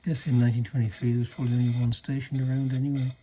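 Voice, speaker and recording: Mellow-voiced man demonstrating vintage gear. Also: level tone, slight background noise. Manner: halting, low-key technical explaining. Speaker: vintage radio restorer demonstrating a set. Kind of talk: casual unscripted demonstration.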